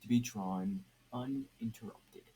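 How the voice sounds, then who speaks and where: Male amateur fiction narrator: slow, stumbling delivery, faint noise on a home recording. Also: on the low side, fairly even intonation, mellow, poor audio. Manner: halting, stumbles and repeats words. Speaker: podfic narrator.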